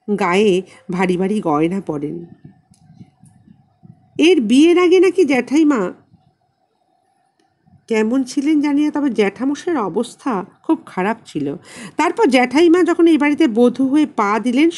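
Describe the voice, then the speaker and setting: A woman reads a literary story as an audiobook narrator, in a measured, expressive way with deliberate pauses. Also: on the high side.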